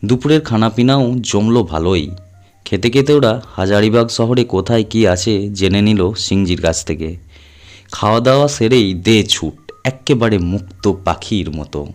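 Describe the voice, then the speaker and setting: A man tells a scripted suspense story in a low voice. He reads it as a dramatic audio storyteller, with music playing behind him. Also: expressive, normal speed, a little mellow.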